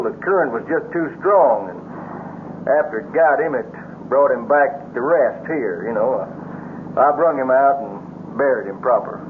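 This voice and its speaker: An actor playing an easygoing, folksy American ex-Navy rescue diver with a country drawl, in a radio drama. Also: male voice, medium pitch, natural voice. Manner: folksy, plain-spoken, reassuring. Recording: noisy, narrow-band old radio broadcast.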